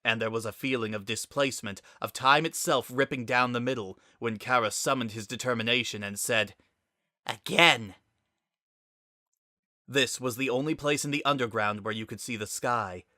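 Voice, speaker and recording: A man's voice: medium pitch, a little fast, few pauses, sharp tone, no room sound, clean studio sound. A man reading a scripted story aloud as a dramatic narrator.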